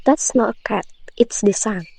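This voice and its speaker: A woman's voice: medium pitch, moderate pace, gravelly, background noise, natural voice. A woman voicing a harsh, cold-hearted stepmother in a storybook fairy tale, speaking curtly and scoldingly. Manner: curt, scolding.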